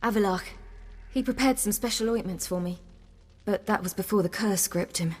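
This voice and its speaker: An actress playing a young hunted woman warrior in a video game, earnest, wry and defiant, telling things in a measured way. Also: mid-range voice, a little mellow, slightly rough, big-room sound, close to an everyday voice. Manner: measured, earnest storytelling with dry wit. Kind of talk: video game dialogue acting.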